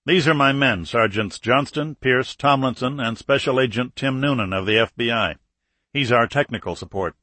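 A man voicing a friendly, courteous Australian army colonel in an audiobook, with a brisk military manner. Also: mid-range voice, pushed and bright, dry recording. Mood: welcoming. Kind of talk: audiobook character dialogue.

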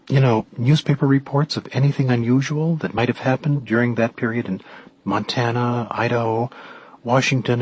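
A man voicing a brisk, eager young woman researcher in an audiobook, chatty and assertive. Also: mid-range voice, breathy edge, lightly acted, low-quality recording. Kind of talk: audiobook character dialogue.

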